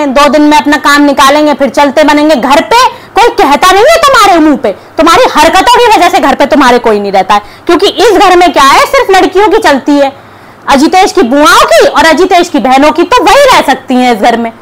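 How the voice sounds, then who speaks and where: Woman, young adult, high voice, scolding vlogger commentary, sarcastic, noticeable background noise. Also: expressive, fairly quick. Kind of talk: reaction commentary to camera.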